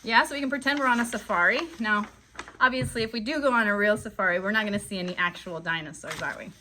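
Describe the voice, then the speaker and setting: A woman's voice: mid-range voice, fast talker, sharp tone, a little hiss or hum, low-quality recording. A woman hosting a casual live puppet show for children in a friendly, encouraging, upbeat way.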